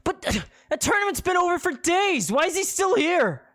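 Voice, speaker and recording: Exasperated young guy, kinda wry, lively voice, narrator playing him. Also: mid-range voice, a little fast, dry recording, studio quality, lightly acted.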